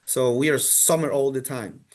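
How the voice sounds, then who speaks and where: A man's voice: medium pitch, steady tone, moderate pace. A man from Colombia, a university representative, answering questions on a video call, with many restarts and fillers. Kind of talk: casual answers in a virtual info session.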